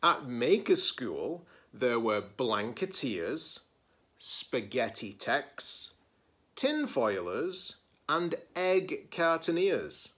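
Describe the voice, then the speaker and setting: A man's voice: medium pitch, big pitch swings, drawn-out words, a bit bright. A man in his forties, a teacher-librarian, reading a children's picture book aloud expressively, stressing key words and adding casual asides.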